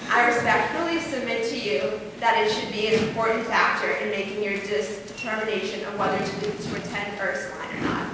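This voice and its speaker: A woman's voice: mid-range voice, fairly even intonation, fairly quick, hardly any pauses. A teenage student with a mild stutter delivers a formal, carefully paced speech. Her voice sits mid-range and is picked up from a distance, with a long, roomy hall echo around every word. A faint hiss sits underneath. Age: teens. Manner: formal, carefully paced, occasional repeated words. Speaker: student speaker. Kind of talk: prepared speech to an audience. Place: large hall, heard from far off.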